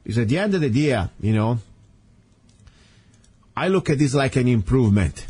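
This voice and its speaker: A man's voice: medium pitch, animated, normal speed, warm tone, slightly rough. A man from Italy with an Italian accent, hosting a talk radio show in an earnest, rambling monologue full of fillers and restarts. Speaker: radio host. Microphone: studio microphone.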